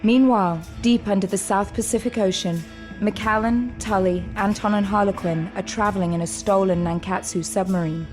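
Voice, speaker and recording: A woman's voice: medium pitch, fairly even intonation, moderate pace, darkish tone, soft background music, close to an everyday voice. A woman narrating a sci-fi audio drama as a solemn storyteller, in a dramatic scripted style. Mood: solemn, suspenseful.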